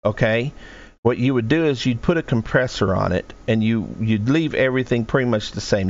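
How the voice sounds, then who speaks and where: Man, low gravelly voice, rambling tutorial, dull lo-fi stream audio. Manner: rambling, talks through each setting aloud with restarts. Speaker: streamer explaining audio settings. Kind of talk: casual screen-share tutorial. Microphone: desk microphone.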